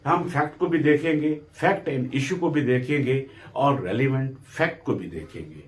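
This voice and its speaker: A man with a Pakistani accent giving an instructional lecture as a law teacher, deliberate and didactic, mixing in English legal terms. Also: mid-range voice.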